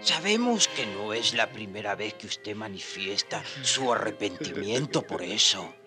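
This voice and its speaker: An actor playing a kindly priest in a radio drama, coaxing and reassuring. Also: male voice, lowish voice, animated, measured pace, music in the background. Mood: consoling. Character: kindly, consoling priest, companion to an old friar.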